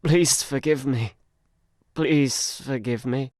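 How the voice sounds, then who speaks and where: A male actor as an anguished, protective uncle in an audio drama. He pleads urgently at a middling pitch, desperate and worn down by captivity. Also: takes it slowly, darkish tone, no room sound, studio quality, natural voice. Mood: anguished, pleading.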